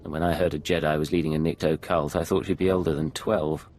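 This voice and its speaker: A man's voice: a bass voice, little pitch movement, fast talker, a little mellow, slight background noise. A man acting a stern, threatening secret agent in a video game, speaking curtly and dryly. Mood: cold, mocking. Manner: curt, dry.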